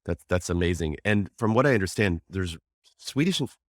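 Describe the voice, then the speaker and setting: A man's voice: low-pitched, expressive, brisk, dry recording, clean studio sound. An American man hosting an interview, friendly and enthusiastic, rambling with lots of restarts and fillers. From United States. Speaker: podcast host, interviewer. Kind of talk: casual conversational interview.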